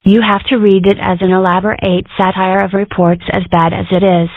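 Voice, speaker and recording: A woman's voice: medium pitch, little pitch movement, brisk, no room sound, close to an everyday voice. Woman voicing a precocious cartoon schoolgirl, tinny phone-like sound. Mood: indignant, wordy. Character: Millie, precocious, argumentative, mischievous young schoolgirl cartoon character. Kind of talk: comic strip dub. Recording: muffled lo-fi phone-like home recording.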